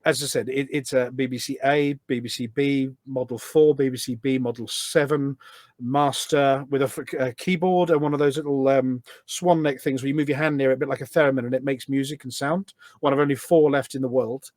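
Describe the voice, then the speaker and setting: A man's voice: medium pitch, fairly even intonation, a little fast, darkish tone, no room sound. A middle-aged man talking casually and at length as a podcast guest, explaining things in an anecdotal way. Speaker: podcast guest, volunteer event organiser. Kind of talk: casual conversational interview.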